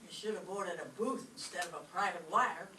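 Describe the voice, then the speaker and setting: A woman's voice: fairly low pitch, a little fast, sharp tone. A woman playing a brusque, impatient city telephone installer in an old-time radio comedy. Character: brisk, impatient telephone installer, working-class city worker. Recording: roomy stage, distant mic, faint noise.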